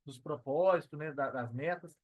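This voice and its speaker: A man's voice: on the high side, moderate pace, no echo, phone-quality sound. Brazilian male podcast participant. Casual motivational chat, emphatic, repetitive slangy phrasing, dry recording. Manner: emphatic, slangy, repeats himself.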